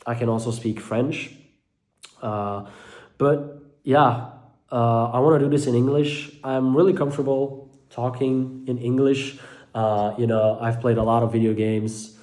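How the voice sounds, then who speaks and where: A young man from Belgium with a Dutch-speaking accent talks casually to his viewers from his living room. He is hesitant, fills gaps with "uh" and "um," and often restarts his sentences, with a pitch that sits in a middle range for a man. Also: steady tone, unhurried, a little mellow. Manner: hesitant, many fillers and restarts. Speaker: mental health content creator. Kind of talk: casual talk to camera.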